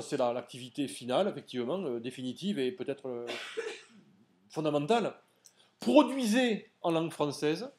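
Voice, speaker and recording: A man's voice: high-pitched, darkish tone. A man giving a seminar lecture, explaining step by step and putting questions to his audience. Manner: didactic, addresses audience directly with questions.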